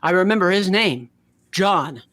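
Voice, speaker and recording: A man's voice: high-pitched, expressive, a little slow, a bit bright, no echo. Guy reading out story dialogue and joking around, dry close sound, no room. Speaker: character reader in a group fan fiction reading.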